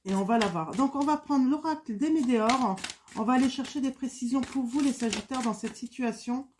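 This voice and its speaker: A woman with a head cold gives a tarot reading in a loose, wandering way. She keeps stopping for fillers and says things twice, and her voice sits a bit higher than most. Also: a little fast, background noise. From France. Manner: hesitant, many fillers and repetitions. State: has a cold. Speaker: tarot card reader. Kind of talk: casual spoken tarot reading.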